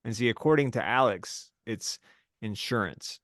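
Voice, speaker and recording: A man's voice: medium pitch, animated, normal speed, a bit bright, no echo, clean studio sound. A young man co-hosting a podcast in easygoing casual chat, asking questions and steering the talk. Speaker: podcast co-host.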